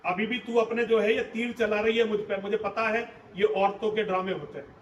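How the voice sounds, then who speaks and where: Angry proud warrior son , a high-voiced man doing it, hammering the same charge again and again, echoey far-off mic. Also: fairly even intonation, normal speed, muffled recording, a little hiss or hum, lightly acted. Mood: furious. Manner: accusing, rhetorical repetition. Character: furious wronged grown son, proud warrior. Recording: roomy hall, distant mic.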